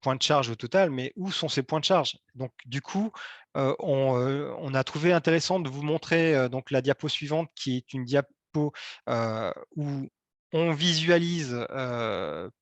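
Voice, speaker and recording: Man giving a halting presentation, dry sound. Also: mid-range voice, no room sound. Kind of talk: explanatory presentation to local officials.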